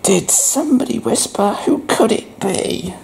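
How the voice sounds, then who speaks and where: A man's voice: high-pitched, lively intonation, a little slow, gravelly, darkish tone, slight background noise, pitched above the performer's own voice, heavily acted. A man voicing a puzzled, self-satisfied duck from a children's picture book, in a theatrical, questioning way. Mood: curious.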